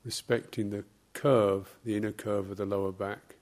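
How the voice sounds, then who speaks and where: A man giving guided meditation instruction as a teacher, speaking in short, measured phrases with long, halting pauses. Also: on the low side, level tone, a little mellow.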